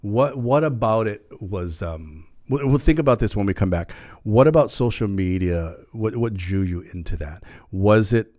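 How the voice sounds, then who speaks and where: Chatty host, lower voice. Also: male voice, normal speed. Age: middle-aged. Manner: lively, chatty, laughs at himself. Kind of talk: casual talk show chat.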